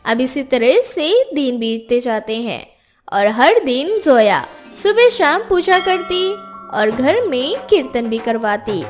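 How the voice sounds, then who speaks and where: High, lively woman narrator. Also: slow pace. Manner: steady storytelling. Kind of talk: scripted story narration. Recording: music bed behind voice.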